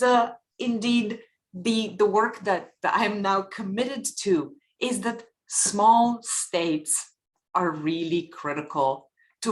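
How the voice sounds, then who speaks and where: A woman from Bulgaria giving earnest, measured panel remarks and posing rhetorical questions. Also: medium pitch.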